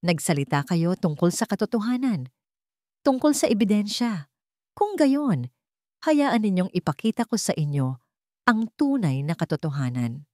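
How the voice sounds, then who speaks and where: A woman's voice: medium pitch, moderate pace, no echo, studio quality, natural voice. Woman voicing a cold, commanding heiress. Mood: calm defiance. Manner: calm, cold, authoritative. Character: calm, commanding wronged heiress and chairwoman.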